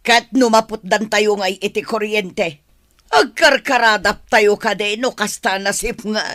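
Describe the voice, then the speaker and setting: A woman acting out a nagging older mother who frets over household money in an Ilocano drama. Her voice is bright and forceful, with a scolding manner and a dry, close sound. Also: mid-range voice, steady tone, measured pace, no echo, close to an everyday voice. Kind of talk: amateur Ilocano audio drama.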